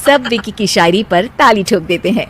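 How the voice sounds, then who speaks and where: A woman narrating a cartoon moral story in a clear, even storytelling voice. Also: mid-range voice, normal speed, heavy background noise.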